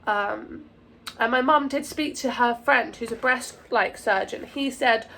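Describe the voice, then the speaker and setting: Croaky, anxious young woman vlogging. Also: a little high, sharp tone, slight background noise. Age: young adult. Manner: anxious, halting, full of fillers and restarts. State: croaky from a sore throat. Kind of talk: casual to-camera vlog.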